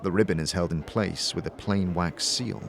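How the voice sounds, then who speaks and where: A man narrating a scripted fantasy audio drama in a measured, dramatic storytelling manner. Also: low-pitched, vocal fry, a little hiss or hum.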